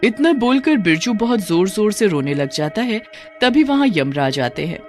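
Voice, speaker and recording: Dramatic cartoon storyteller, really animated pitch, faint music behind. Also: moderate pace. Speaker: story narrator. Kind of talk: scripted cartoon story narration.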